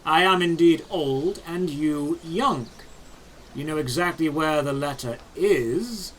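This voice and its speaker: A man voicing a calm, knowing old man who speaks softly and without hurry in an audio drama. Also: on the high side, a little hiss or hum, low-quality recording. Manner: soft-spoken, unhurried.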